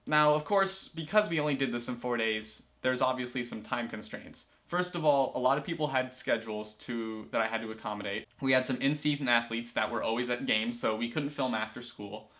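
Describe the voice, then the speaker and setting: A man's voice: medium pitch, sharp tone. A teenage boy talking casually to camera in a small room, rambling with lots of fillers and restarts. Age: teens. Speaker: student filmmaker and vlogger. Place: small room, indoors, in a corner.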